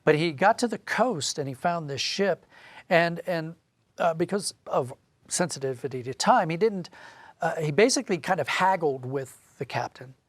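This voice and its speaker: A man's voice: fairly high pitch, animated, normal speed, no echo. A man speaking as an interview guest, telling a story conversationally in an explanatory, emphatic way.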